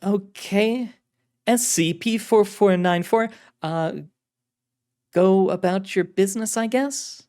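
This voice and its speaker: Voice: mid-range voice, slow, a little mellow, voice pushed up, natural voice. A narrator voicing a wary, businesslike woman who works as a containment agent in an audio story, direct and skeptical. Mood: exasperated. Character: wary, businesslike female containment agent, exasperated but dutiful. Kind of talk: audio story character dialogue.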